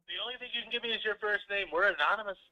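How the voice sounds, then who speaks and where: A man's voice: high voice, racing, muffled recording. A man hosting a call-in stream with playful, mock-serious patter.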